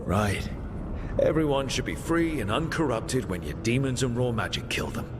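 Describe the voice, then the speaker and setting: An actor playing an earnest, skeptical hero in a fantasy video game, plain-spoken and challenging. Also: male voice, mid-range voice, animated, moderate pace, in a large hall, music in the background, natural voice. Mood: sarcastic, accusing. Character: earnest, defiant young male hero, skeptical and blunt.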